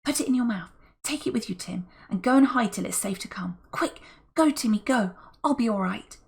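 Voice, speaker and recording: Woman reading storybook girl, high whispery voice, urgent, slight rasp. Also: expressive, brisk, darkish tone, exaggerated voice. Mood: urgent whisper. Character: brave young tomboy girl, frightened but determined.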